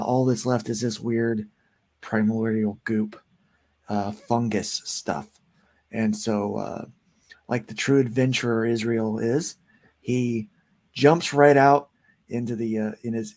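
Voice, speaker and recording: A gravelly man in his forties talks about his comic project in a loose, rambling way. He pads his sentences with plenty of fillers as a relaxed interview guest. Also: on the low side. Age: 40s. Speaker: comic book writer and guest. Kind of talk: casual interview chat.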